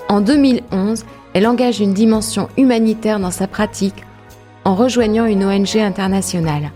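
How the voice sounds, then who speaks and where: Composed woman radio interviewer, soft music. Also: medium pitch. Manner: measured, composed delivery. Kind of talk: scripted introduction and interview questions. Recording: radio show with faint music.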